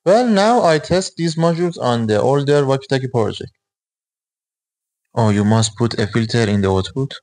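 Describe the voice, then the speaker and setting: A man's voice: mid-range voice, lively intonation, moderate pace, a little mellow, rough recording. A man in his twenties hosting a hobby electronics video, explaining his project step by step. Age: 20s.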